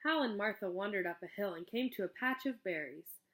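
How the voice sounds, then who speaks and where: A woman narrating a children's storybook aloud in an expressive, scripted read-aloud style. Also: medium pitch, fairly quick. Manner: expressive storybook reading with character dialogue.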